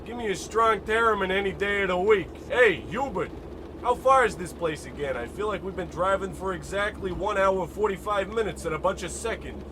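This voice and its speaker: An actor playing an earnest, scatterbrained investigator sidekick in an old-time radio comedy. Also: male voice, high voice, a little fast, natural voice. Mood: earnest, agreeable. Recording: clear car engine noise behind. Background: driving vehicle sound effect.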